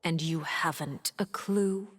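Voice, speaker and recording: A woman playing a cold, composed diplomat in a game, speaking in a measured, formal, sardonic and pointed way. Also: low-pitched, slight background noise. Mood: cold, probing. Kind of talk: dramatic game voice acting. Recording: music under the voice.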